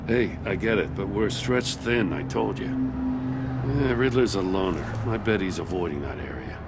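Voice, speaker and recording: An actor playing a weary, principled older police chief in a video game, with a gruff, world-weary conversational manner. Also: male voice, fairly low pitch, lively intonation, unhurried, very noisy. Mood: weary, wry. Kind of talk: dramatic video game acting.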